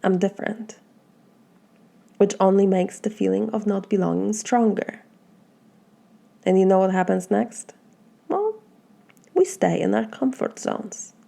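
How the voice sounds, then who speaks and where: A woman from Poland with a Polish accent, speaking as a life coach in a motivational talk and asking slow, earnest, reflective questions. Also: medium pitch, creaky, dark tone.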